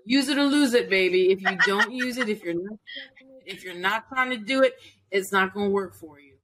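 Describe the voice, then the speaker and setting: A woman with a mid-pitched voice hosts a relaxed, chatty interview. She sounds informal and animated, and often rephrases her thought as she goes. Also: fairly quick, a crowd in the background. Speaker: interviewer, host and instructor. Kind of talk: casual chat interview.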